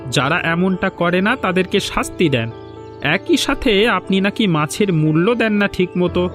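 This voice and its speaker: Man acting cheeky male stranger, very high voice, confident, bold, cartoon folk tale, faint music behind. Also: expressive, a little slow, fairly bright tone. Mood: defiant. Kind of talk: Bengali animated folk-tale dialogue.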